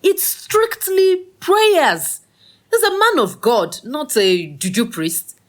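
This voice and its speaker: A woman's voice: sits high, huge pitch swings, slow pace, full character voice. An actress with a Nigerian accent playing a bold, teasing, meddlesome young woman in an audio drama, brash and scolding in an emphatic way. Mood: insistent.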